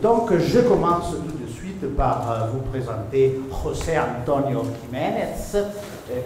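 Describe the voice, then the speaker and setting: A man in his thirties whose first language is Italian, chairing a conference session and introducing a speaker with many hesitations, on a table mic in a seminar room. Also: medium pitch. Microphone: table gooseneck mic. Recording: echoey room with noise.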